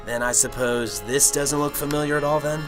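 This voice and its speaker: A cynical, world-weary radio drama detective, acted by a man. He is dry and sardonic, speaking at a middling pitch with faint music underneath. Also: normal speed, lightly acted. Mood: accusing. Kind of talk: amateur radio drama acting and first-person narration.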